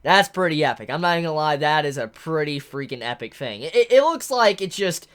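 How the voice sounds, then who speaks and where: A low-pitched woman reacting live to a manga chapter, her voice swinging widely as she gets excited. She sounds congested because she is getting over a head cold. She talks in a chatty way and exclaims often. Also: brisk.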